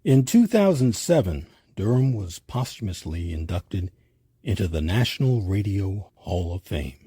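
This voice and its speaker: A man narrating a scripted educational documentary with measured, emphatic phrasing. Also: deep voice, very animated, dark tone, slightly rough, no room sound, clean studio sound. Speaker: narrator and host.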